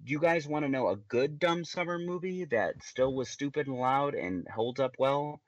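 A man's voice: medium pitch, normal speed, dry recording, highs cut off. A man co-hosting a podcast who rants in casual chat and often stammers and restarts his words. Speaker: podcast co-host.

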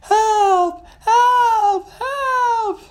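A storyteller voicing a young female adventurer, nervous and excitable, exclaiming and questioning in a children's story. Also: medium pitch, unhurried, pitched above the performer's own voice, close to an everyday voice. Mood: screaming for help.

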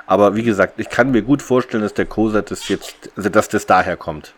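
A man's voice: on the low side, a little fast. A man co-hosting a podcast, talking through a story in a casual, explanatory, conversational way. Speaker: podcast co-host. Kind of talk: casual discussion of an audio drama's plot.

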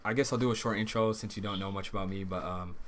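A man's voice: lowish voice, steady tone, fast talker. A man in his early twenties with an American accent, chatting casually and hesitantly as he hosts an interview. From Southern California, United States. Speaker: podcast host. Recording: noticeable background noise and ambience.

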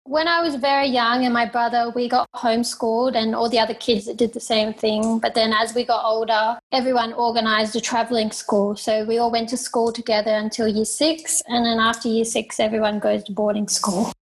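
Australian woman, plain and halting. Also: a little high, flat delivery, low-quality recording. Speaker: guest, professional fighter. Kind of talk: interview answers.

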